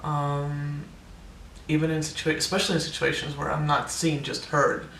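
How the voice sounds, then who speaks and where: Young man vlogger, hesitant and casual, flat gravelly delivery with voice cracks, roomy distant recording. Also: a little high, normal speed, slight background noise. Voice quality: voice cracks, air breaking through on some notes. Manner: hesitant, many ums and restarts. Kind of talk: casual personal update to camera.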